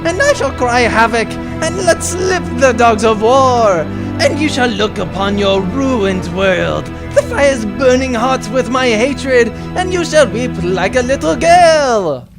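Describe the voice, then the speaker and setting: A man's voice: extremely high pitch, expressive, over music, exaggerated voice. Man playing a bombastic, vengeful space villain baron. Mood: vengeful fury. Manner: grandiose, theatrical, drawn-out declaiming. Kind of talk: comic audio drama acting.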